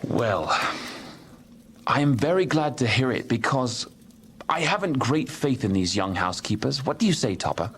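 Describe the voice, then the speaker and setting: A man's voice: mid-range voice, lively intonation, normal speed, fairly bright tone, a little hiss or hum. A man playing a jovial, good-hearted young Victorian nephew with a hearty, chuckling manner in an audiobook drama. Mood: cheerful, forgiving.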